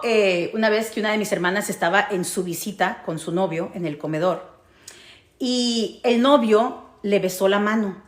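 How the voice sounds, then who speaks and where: A woman with a fairly high voice and a Mexican Spanish accent shares a personal story as a casual vlog. She is emphatic, repeating key phrases and checking that listeners follow. The room around her is noticeably echoey. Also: a bit bright. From United States, grew up in New Jersey. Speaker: storytelling vlogger.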